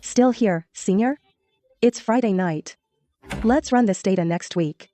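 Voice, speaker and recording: An actress playing a chatty, considerate young university student in a dubbed drama. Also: female voice, mid-range voice, measured pace, dark tone, a little hiss or hum, lightly acted. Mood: casual, curious. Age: young adult. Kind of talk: dubbed drama acting, casual chat.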